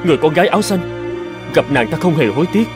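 A man's voice: a little high, animated, very fast, over music, close to an everyday voice. A man playing a tender, earnest young scholar in a folktale cartoon, speaking in formal old-fashioned phrases. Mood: tender, wistful. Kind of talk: dramatic cartoon voice acting.

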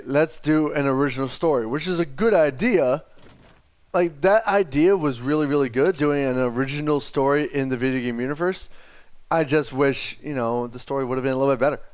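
A man's voice: medium pitch, clear, resonant tone. A young man co-hosting a gaming show, chatting casually and giving emphatic opinions over gameplay.

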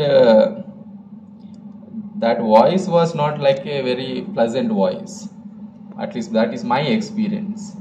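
A man in his thirties from India, a priest giving a homily in a loose, conversational way with many fillers. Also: on the low side. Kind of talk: sermon. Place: chapel with stage curtains. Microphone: stand mic on a gooseneck at the chest. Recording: roomy, distant and dull, with background hiss.

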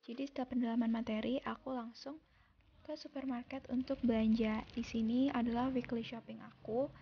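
A young woman from Indonesia narrating her daily vlog as a calm, unhurried voice-over, recorded separately in a quiet room. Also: fairly high pitch, dull audio, slight background noise. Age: young adult. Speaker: vlogger. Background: soft background music.

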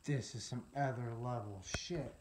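A man's voice: medium pitch, moderate pace. A young man giving casual, nervous, sweary commentary while exploring inside a house with a handheld camera. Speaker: video host exploring abandoned houses. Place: inside a cluttered abandoned house. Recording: noisy handheld camera recording.

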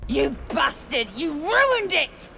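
A very high, anguished young widow in a fantasy game, over noticeable ambient noise. She draws her words out in bitter, pleading accusation, her pitch moving freely. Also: big pitch swings, slow pace, vocal fry, full character voice. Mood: bitter anger. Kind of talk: video game character acting.

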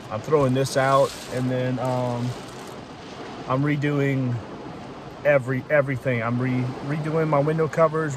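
A young man in his twenties giving a casual vlog update from inside a parked car, hesitant with many fillers and restarts. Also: medium pitch. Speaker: vlogger. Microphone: phone camera close to face. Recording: faint rain noise in car. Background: rain and car ambience.

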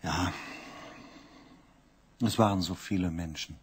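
An actor playing a weary, bitter engineer who speaks tersely and with resignation, in a radio drama. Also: male voice, deep voice, slow pace, slightly rough, full character voice. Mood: resigned. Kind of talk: 1980s radio drama acting.

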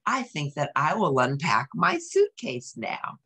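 A woman voicing a polite, gentle young furry monster in a storybook, speaking in careful, formal phrases. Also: deep voice, wildly swooping pitch, unhurried, breathy edge, exaggerated voice. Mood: agreeable. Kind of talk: storybook read-aloud.

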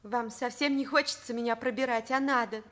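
A woman's voice: on the high side, steady tone, a little fast, fairly bright tone, lightly acted. An actress playing an outspoken, cheeky teenage schoolgirl in a Soviet radio drama, candid and argumentative.

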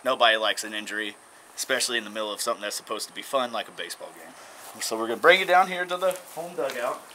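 A young man leads a casual, folksy tour of an abandoned baseball stadium, talking straight to the camera. His voice sits in an ordinary middle pitch. The outdoor camera audio carries clear wind and traffic noise. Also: expressive, rapid-fire, bright, forceful tone. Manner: folksy, chatty tour-guide talk. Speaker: urban exploration vlogger.

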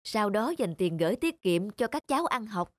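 A man voicing a modest, kindly, middle-aged older brother in a cartoon, calm and plain-spoken. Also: sits high, moderate pace, dry recording, studio quality. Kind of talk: animated cartoon dialogue.

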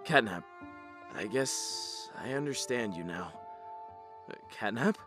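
A man voicing a kind, troubled male dog creature who leads others in a cartoon, speaking earnestly and gently with some hesitation. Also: medium pitch, animated, slow pace, over music. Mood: comforting. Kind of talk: fan-made cartoon voice acting.

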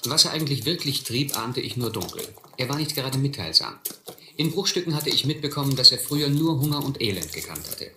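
A middle-aged actor playing a reflective man who recalls his boyhood, narrating a radio play in measured, literary style. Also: mid-range voice, pushed and bright. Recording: echoey old recording, faint noise.

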